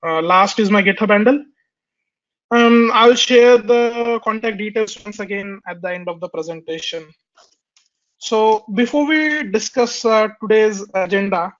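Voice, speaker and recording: A man with a fairly high voice walks listeners through a technical webinar, his voice nasal from a cold. He hesitates often, filling gaps and restarting phrases. The sound is muffled and lo-fi, like a webinar conference call. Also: a bit bright. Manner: hesitant, many fillers and restarts. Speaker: webinar presenter. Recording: dull lo-fi webinar audio.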